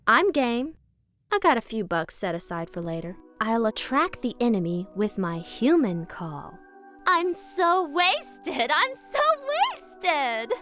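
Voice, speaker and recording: High, flirty dryad. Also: female voice, very animated, takes it slowly, a bit bright, soft background music, heavily acted. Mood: playful, silly. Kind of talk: video game unit barks.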